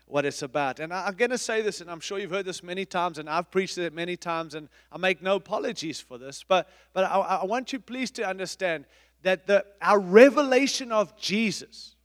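A middle-aged man, a pastor, preaching a sermon in an emphatic, repetitive style with big swings in loudness. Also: sits high, fairly quick, in a large hall. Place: church.

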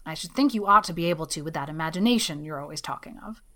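A woman's voice: low-pitched, lively intonation, fast talker, pushed and bright, pitched below the performer's own voice. A woman voicing a stern, grim older woman raising a child, weary and dry in manner.

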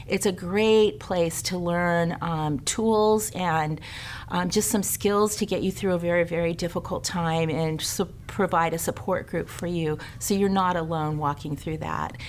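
A middle-aged woman on the lower side of the female range gives the church announcements. She sounds earnest and inviting as she runs through classes and events. A faint low rumble sits under her voice. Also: fairly even intonation, unhurried. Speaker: care ministry director.

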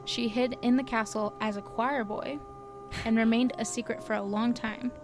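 A woman's voice: a little high, steady tone, normal speed, music in the background. Casual podcast co-host, woman, from Canada, loose rambling storyteller. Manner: chatty, lots of likes and ums, laughs at own stumbles. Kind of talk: casual chat, telling legends.

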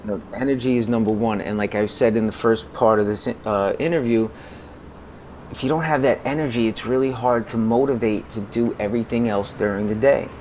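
An American man in his forties speaking conversationally as an interview guest, in a halting way that often repeats words. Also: fairly low pitch, steady tone, a little mellow, a little hiss or hum. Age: 40s. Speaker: podcast guest, music consultant.

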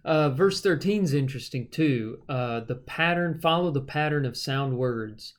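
A man teaching the Bible in a conversational, measured way, moving between explanation and reading verses aloud. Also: mid-range voice, expressive. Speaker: Bible study podcast host and teacher.

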